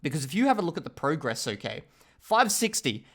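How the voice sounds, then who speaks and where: A man's voice: on the high side, very animated, fairly quick. A man hosting a casual talking-head video, rambling and chatty, often stopping to check in with the viewer. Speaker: channel host reviewing his language learning.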